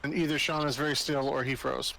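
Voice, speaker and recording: A man's voice: medium pitch, flat delivery, brisk, gravelly, bright, forceful tone, poor audio. A man playing in a tabletop role-playing game, chatting casually with the group. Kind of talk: casual chat during online game.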